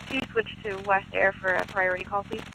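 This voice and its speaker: A man's voice: high-pitched, little pitch movement, quick pace. A man working as a police dispatcher, speaking over the radio in a procedural, matter-of-fact way. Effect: police radio transmission. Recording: police radio with clear background noise.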